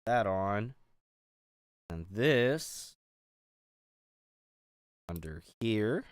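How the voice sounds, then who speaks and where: Man, deep voice, smooth tone, dry close sound, middle-aged, from the Pittsburgh area, rambling streamer chat full of fillers. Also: expressive, drawn-out words, dry recording. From Pittsburgh area, USA. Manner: rambling, chatty, many fillers and restarts. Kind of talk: casual chat while building a toy set.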